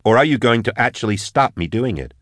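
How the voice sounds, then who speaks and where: A tough female marshal who pushes back hard, as a man voices her. Her voice sits above his own and is loud and forceful. The recording is dry and close. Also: mid-range voice, animated, fairly quick, no echo, voice pushed up, close to an everyday voice. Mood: defiant. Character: female marshal, blunt and defiant.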